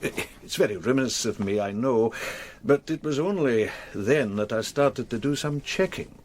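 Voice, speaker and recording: An actor playing a prim, talkative bank manager in a radio drama, explaining things in a measured, businesslike way. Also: male voice, mid-range voice, animated, a little hiss or hum, natural voice. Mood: earnest, explanatory.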